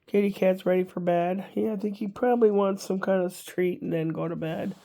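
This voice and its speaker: Older woman vlogger, congested, gravelly, rambling casual chat. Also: mid-range voice, steady tone, a little fast, mellow. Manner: rambling, chatty, wry asides.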